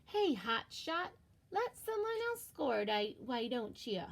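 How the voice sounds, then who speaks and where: A woman's voice: a little high, very animated, takes it slowly, vocal fry, full character voice. A woman voicing an outspoken young boy mackerel in a storybook, exclaiming indignantly. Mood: cranky.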